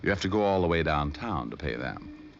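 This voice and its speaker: An actor playing a cool, sardonic private detective in an old radio drama, with a dry, offhand delivery. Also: male voice, rumbling low, expressive, a little fast, gravelly, lo-fi sound, heavily acted. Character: wisecracking, cool-headed male private detective. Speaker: lead actor and first-person narrator in a radio detective drama. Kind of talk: old time radio detective acting and narration. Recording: noisy, band-limited vintage radio recording.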